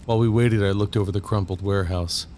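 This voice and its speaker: A man's voice: lowish voice, fairly even intonation, fast talker, a little mellow, a little hiss or hum, natural voice. A man playing a composed federal agent, narrating an investigation in a terse, matter-of-fact way in an audio drama. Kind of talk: first-person audio drama narration and dialogue.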